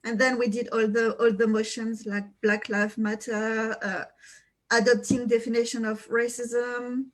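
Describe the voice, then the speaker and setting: A woman with a French accent speaks on a video call, her voice sitting fairly high. She talks in a halting way, stopping often on fillers as she explains her work as a councillor. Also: flat delivery, poor audio. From France. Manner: hesitant, many fillers. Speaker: local councillor. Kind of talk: panel introduction on a video call.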